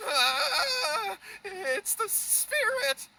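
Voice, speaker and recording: A man's voice: very high voice, sing-song, extremely slow, sharp tone, slight background noise, heavily acted, poor audio. An actor playing an anxious, timid young man in a video game, speaking in a nervous, pleading manner. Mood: terrified.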